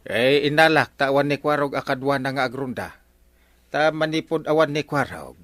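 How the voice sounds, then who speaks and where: A man's voice: mid-range voice, moderate pace, dry recording, natural voice. A man playing a blustery, comic older village captain with an Ilocano accent, full of exclamations in a radio comedy drama. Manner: animated, exclamatory.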